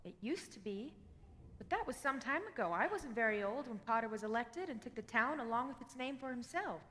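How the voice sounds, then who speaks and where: A woman's voice: on the high side, expressive, brisk, a bit bright. A woman playing an earnest, easily alarmed young woman in an old-time radio play. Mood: guarded. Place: live stage in a hall. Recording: echoey hall, live stage taping.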